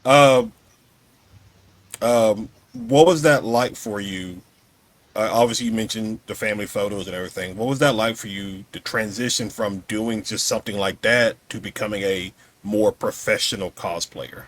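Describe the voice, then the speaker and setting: A man with a lowish voice hosts a podcast. He speaks in a casual interview style, as a host would with a guest. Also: normal speed.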